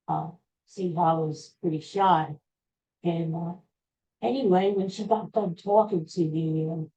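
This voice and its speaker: The audio is dull and lo-fi, carrying the very soft, dark-toned voice of an older man with an American accent. He hosts a casual storytelling podcast, wandering through his sentences with halting starts and a self-deprecating manner. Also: fairly high pitch. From Pennsylvania, USA. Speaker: podcast host.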